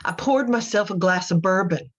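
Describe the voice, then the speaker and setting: A woman playing a devout, unsettled imprisoned mother in a radio drama, giving a halting, wistful confessional monologue. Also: mid-range voice, highs cut off, close to an everyday voice. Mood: brooding. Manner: halting, wistful, folksy, brittle.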